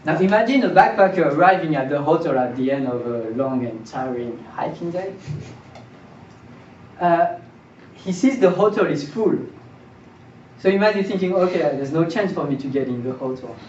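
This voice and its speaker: Higher-voiced young man lecturing, roomy distant sound. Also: lively intonation, normal speed, darkish tone. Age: young adult. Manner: rhetorical questions, checks in with listeners. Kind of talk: informal seminar lecture on mathematics. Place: small indoor seminar room. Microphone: far camera. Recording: distant roomy mic, dull, faint noise.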